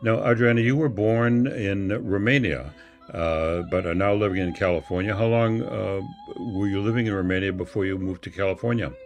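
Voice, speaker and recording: A man hosting a radio interview, asking questions in a measured way with occasional fillers. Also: sits low, faint music behind. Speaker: radio host.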